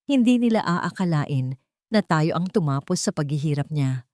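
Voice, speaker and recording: Woman narrator doing a sugary cold villainess, scheming and impatient, audio drama, pitch jumping around, dry sound. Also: lively intonation, normal speed, a little mellow, no room sound. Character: sweet-voiced scheming cruel young woman, impatient and disgusted. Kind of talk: Tagalog audio drama storytelling.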